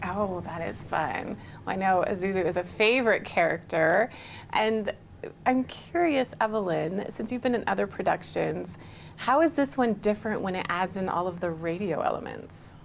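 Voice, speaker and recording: An enthusiastic woman interviews guests in a TV studio. Her voice sits at a medium pitch and she sounds encouraging. There is faint noise in the background. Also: normal speed, gravelly. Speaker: TV interview host.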